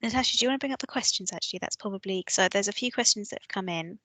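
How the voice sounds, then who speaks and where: A woman's voice: medium pitch, rapid-fire, sharp tone, no echo. A woman hosting an online course, answering audience questions in a chatty, practical way. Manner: chatty, practical, lots of fillers. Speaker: webinar host.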